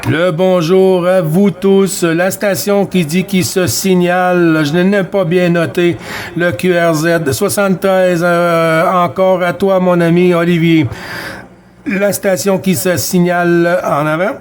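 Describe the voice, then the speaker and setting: A man chatting as a CB radio operator, giving friendly greetings and sign-offs in a halting, hesitant way. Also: sits high, level tone. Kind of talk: radio contact chat, exchanging sign-offs.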